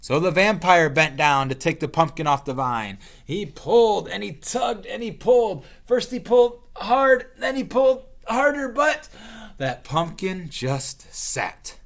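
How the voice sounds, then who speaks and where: A man reading a storybook aloud to young children as their teacher, expressively stretching words and pausing to explain. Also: high voice, animated, vocal fry, a bit bright.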